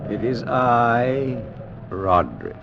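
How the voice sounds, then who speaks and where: A man's voice: mid-range voice, big pitch swings, takes it slowly, creaky, heavily acted. A haunted, half-mad nobleman, wildly swooping delivery. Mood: morbid gloom. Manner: theatrical, swinging between murmured gloom and frenzy. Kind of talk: vintage radio drama acting. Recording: dull, faintly noisy vintage radio recording.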